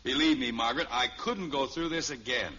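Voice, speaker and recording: A man's voice: mid-range voice, a little fast, pushed and bright, close to an everyday voice. An actor playing an indignant, mock-pompous family father in a 1950s radio sitcom. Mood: exasperated. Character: Jim, exasperated, proud middle-class family father. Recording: muffled old radio recording, faint hiss.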